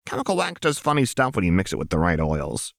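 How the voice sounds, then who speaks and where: A man's voice: low voice, huge pitch swings, fast, vocal fry, dry recording, clean studio sound, heavily acted. A man voicing a volatile, wounded young woman, a manic gunslinger, with an erratic, spitting delivery in a narrated story. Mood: mischievous.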